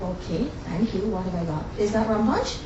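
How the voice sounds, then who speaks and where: A woman's voice: medium pitch, normal speed, muffled recording, background noise. Woman host, chatty and jokey with the crowd, heard from a distance in a very echoey room. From born in Guyana, raised in Barbados. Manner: chatty, jokey, talks to the audience. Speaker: cooking demonstration host. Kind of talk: live cooking demo to an audience. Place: demo kitchen on a cruise ship. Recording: very echoey room, distant mic, faint ambience.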